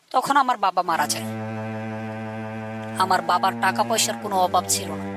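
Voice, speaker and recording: An actor voicing the angry, bitter ghost of a murdered young boy in an animated horror story, with an accusing manner. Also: medium pitch, lightly acted.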